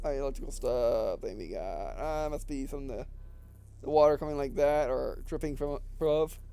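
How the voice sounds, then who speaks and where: A man doing a let's play, reading game text aloud haltingly, often stumbling and repeating phrases, with casual comments. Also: medium pitch, warm tone, clean tone. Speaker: gaming video host reading a visual novel aloud.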